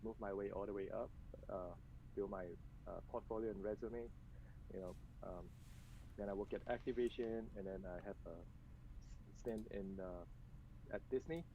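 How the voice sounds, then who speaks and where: A man from Malaysia, answering interview questions over a video call with hesitant pauses. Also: fairly low pitch, warm tone, highs cut off, a little hiss or hum. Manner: hesitant, many filler pauses. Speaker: game company director.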